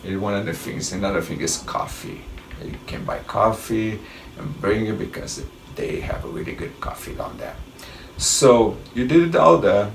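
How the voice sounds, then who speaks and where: A man's voice: lowish voice, sing-song, normal speed, vocal fry, slight background noise. A middle-aged man with a Brazilian Portuguese accent, giving rambling travel tips in a casual monologue and often restarting his sentences. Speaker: travel vlogger. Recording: roomy, distant mic with faint ambience.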